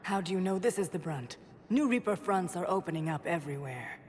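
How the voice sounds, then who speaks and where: A woman's voice: on the low side, lively intonation, a little fast, a little mellow, natural voice. A woman playing an inquisitive, doubting alien councilor in a sci-fi video game. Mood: probing, doubtful. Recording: echoing chamber, faint ambient noise.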